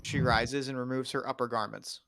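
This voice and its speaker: Male narrator, medium pitch, reading screenplay stage directions, scripted delivery. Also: steady tone, normal speed, gravelly, a bit bright.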